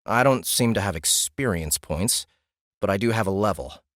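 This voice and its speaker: A man's voice: fairly low pitch, animated, moderate pace, a bit bright, dry recording, clean studio sound. A man voicing a curious young man asking questions in an audiobook. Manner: casual, slightly unsure questions. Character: young man new to a game world, curious. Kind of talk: audiobook character voice.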